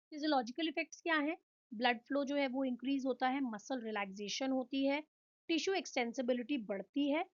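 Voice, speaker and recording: High-pitched Indian woman teaching. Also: dull audio. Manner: rhetorical questions answered in listed points. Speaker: physiotherapy instructor. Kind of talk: explanatory lecture mixing Hindi and English.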